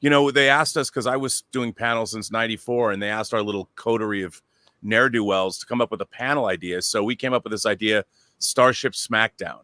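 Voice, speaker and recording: A man telling anecdotes in a casual, excitable and emphatic way on a pop culture talk show. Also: lowish voice, brisk, no echo, clean studio sound.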